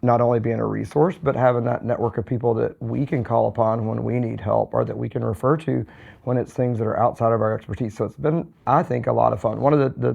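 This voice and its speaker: Hoarse guy chatting on a podcast, really gravelly, kinda flat and even, rambles with lots of ums, slightly dull sound. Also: mid-range voice. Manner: relaxed, rambling with many fillers and restarts. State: hoarse, recovering from a cold. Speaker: podcast co-host, physician. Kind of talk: casual podcast chat.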